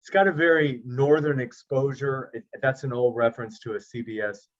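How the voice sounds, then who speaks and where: Man, middle-aged, interview guest, chatty, joking, mid-pitched voice, dull lo-fi sound. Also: moderate pace. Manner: easygoing, chatty, self-deprecating jokes. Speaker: actor and producer. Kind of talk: interview guest over video call. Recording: slightly dull lo-fi audio.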